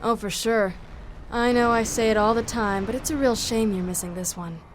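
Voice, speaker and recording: A woman's voice: fairly high pitch, steady tone, normal speed, noisy background, close to an everyday voice. A woman playing a cocky, foul-mouthed young half-vampire killer in a video game, sardonic and swaggering. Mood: wry.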